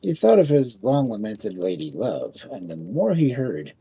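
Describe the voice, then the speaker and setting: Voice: mid-range voice, very animated, unhurried, dark tone, a little hiss or hum. A storyteller reading a scripted fairy tale as narration.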